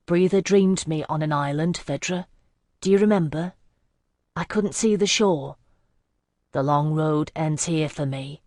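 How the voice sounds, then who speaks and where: A woman's voice: low-pitched, measured pace, long pauses, a little mellow, no echo, studio quality. A woman voicing a once carefree young man, now desperate, speaking in a raised, urgent, strained voice in a fantasy audiobook. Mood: anguished. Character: young man, careless prince turned desperate.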